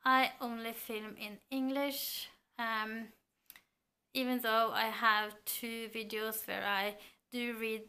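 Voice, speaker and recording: Slow, bright-voiced Norwegian woman. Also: fairly high pitch, flat delivery, smooth. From Norway. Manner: thoughtful, hesitant with fillers. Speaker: book vlogger. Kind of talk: casual chat to camera. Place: home room lined with bookshelves. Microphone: camera at close range.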